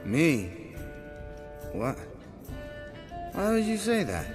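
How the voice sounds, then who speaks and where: An actor playing a brash, sarcastic, goading monster hunter in a video game. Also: male voice, fairly high pitch, wildly swooping pitch, very slow, music in the background, heavily acted. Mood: drunken, maudlin. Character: brash, needling, drunken monster hunter, grown man.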